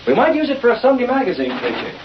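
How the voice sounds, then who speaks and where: An actor playing a proper, skeptical newspaper boss with an American accent in an old radio drama. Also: male voice, extremely high pitch, huge pitch swings, very fast, exaggerated voice. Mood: sly, hesitant. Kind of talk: 1930s-40s radio acting. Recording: hissy, muffled old radio recording.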